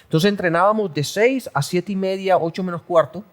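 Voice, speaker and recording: A middle-aged man from the Canary Islands, a pastor telling his life story in a relaxed interview, talking with many restarts and little jokes. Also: sits high, brisk. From Canary Islands, Spain. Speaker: pastor and guest. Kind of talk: casual testimony interview.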